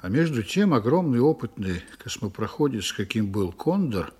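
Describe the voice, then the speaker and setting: A man's voice: mid-range voice, sing-song, moderate pace, a little mellow, slightly rough. An actor playing a stern, sardonic male starship commander in a science-fiction audio drama. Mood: weighty, brooding.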